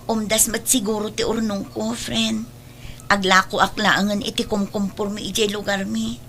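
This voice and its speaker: A woman's voice: mid-range voice, little pitch movement, normal speed, fairly bright tone, a little hiss or hum, lightly acted. A woman playing a worried, dutiful young working daughter in an Ilocano audio drama, earnest and anxious, with an Ilocano accent. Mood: resigned, earnest.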